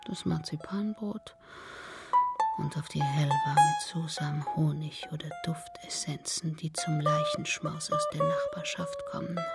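A chatty, greedy peddler woman in a radio play. Her lowish voice swings widely and theatrically, with a gravelly crackle, over loud plucked-string music. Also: big pitch swings, a little slow, heavily acted. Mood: chatty, self-pitying. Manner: garrulous, theatrical, sometimes dropping to a whisper. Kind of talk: German radio play acting.

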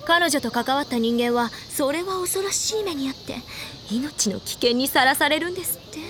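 A woman's voice: high-pitched, expressive, normal speed, background noise. A smug, teasing schoolgirl. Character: teasing teen girl, smug and knowing. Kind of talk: drama CD acting. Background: music.

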